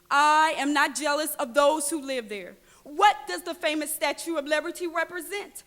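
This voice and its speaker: An actress plays a passionate woman activist with a high voice, addressing a hall with long reverb. She declaims deliberately and emphatically, defiant throughout her staged political address. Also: fairly bright tone. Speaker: political speaker.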